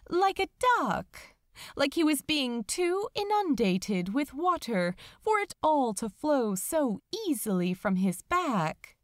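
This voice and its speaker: Slow, expressive woman reading a story. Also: sits high, dry recording, studio quality. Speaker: fan fiction narrator. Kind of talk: dramatic scripted audiobook narration.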